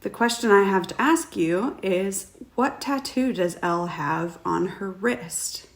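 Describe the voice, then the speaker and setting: A woman's voice: mid-range voice, a little slow. A woman speaking as an author, giving a prepared, explanatory talk to viewers. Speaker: author presenting a trivia question.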